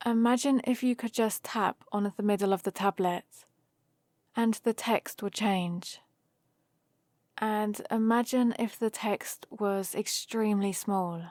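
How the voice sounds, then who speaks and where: Woman playing a friendly human heroine, slow unhurried pace, playful teasing, casual explaining, dry close sound, ASMR roleplay. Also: medium pitch, a little mellow, dry recording, lightly acted. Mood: patiently explaining. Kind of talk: ASMR roleplay, one-sided conversation with the listener.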